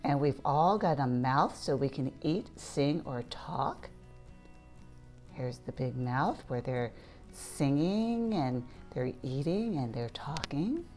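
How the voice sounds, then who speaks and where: Low-pitched, lively woman reading to children. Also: slow, soft background music. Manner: playful, asks listeners questions and points things out. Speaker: children's read-aloud storyteller. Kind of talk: read-aloud picture book narration for young children.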